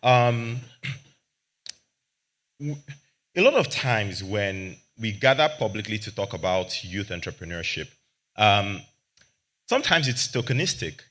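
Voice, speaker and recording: A young man, arguing confidently and emphatically as a panelist, speaking into a handheld microphone with a loud voice. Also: on the low side, animated, a little slow, long pauses. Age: young adult. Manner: confident, emphatic, argumentative, says he has a loud voice. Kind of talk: panel discussion remarks.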